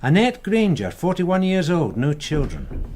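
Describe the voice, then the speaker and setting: An actor playing a wry, matter-of-fact British detective sergeant in a radio crime drama. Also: male voice, a little high, wildly swooping pitch, measured pace, a little mellow, slight background noise. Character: loyal detective sergeant, wry, plodding, susceptible to women.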